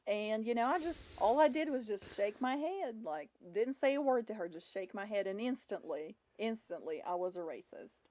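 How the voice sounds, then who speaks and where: A woman's voice: medium pitch, lively intonation, normal speed, warm tone, rough recording. A woman from Russia, a podcast guest with a Russian accent and a Southern US twang, telling stories in casual conversation.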